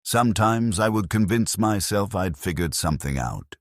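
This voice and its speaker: A man reading scripted first-person narration in a measured, somber and reflective manner. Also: fairly low pitch, expressive, dry recording, studio quality.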